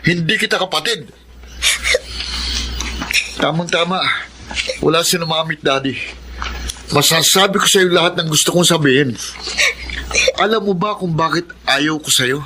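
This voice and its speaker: A man's voice: fairly high pitch, moderate pace, pauses often, background noise, lightly acted. A Filipino man playing a jealous, bitter, defiant son in a radio drama, sullen and accusing. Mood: menacing. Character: bitter, jealous, rebellious son hating his half-sister.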